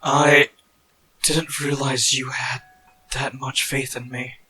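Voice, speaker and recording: A man's voice: medium pitch, little pitch movement, takes it slowly, natural voice, poor audio. A man voicing a stubborn, idealistic wounded knight leader in a dramatic roleplay, earnest and solemn. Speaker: voice actor. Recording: loud background music.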